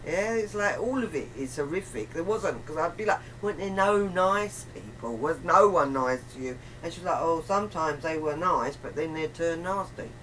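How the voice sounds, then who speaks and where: A woman's voice: deep voice, animated, normal speed, hardly any pauses, fairly bright tone. A woman chatting casually in a rambling, emphatic, colloquial way, often acting out bits of reported dialogue. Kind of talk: casual chat. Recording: distant mic, faint room noise.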